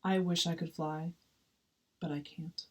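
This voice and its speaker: A woman's voice: low-pitched, fairly even intonation, measured pace, darkish tone. A woman voicing a grumbling, self-pitying young penguin in a storybook, with a whiny, complaining delivery. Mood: glum complaint. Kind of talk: storybook read-aloud.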